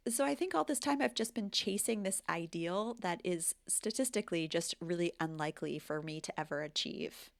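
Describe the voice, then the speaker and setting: A woman's voice: lowish voice, expressive, normal speed, vocal fry, few pauses. A woman in her forties with an American accent, a language teacher talking candidly and with self-deprecating humor in a casual vlog. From United States. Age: 40s. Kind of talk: casual reflective vlog talk.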